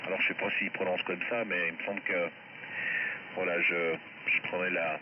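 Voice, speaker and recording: A man's voice: deep voice, flat delivery, moderate pace. A man chatting casually as an amateur radio operator, heard over a shortwave radio link. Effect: shortwave amateur radio transmission. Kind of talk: casual radio contact chat. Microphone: heard through a radio receiver. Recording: muffled, static-filled shortwave radio reception.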